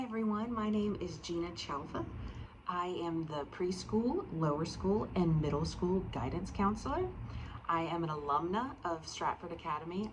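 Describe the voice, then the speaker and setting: An American woman in her thirties, a school guidance counselor, introducing herself informally. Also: low voice. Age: 30s. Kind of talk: informal introduction to parents and students. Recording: clear ambient background noise.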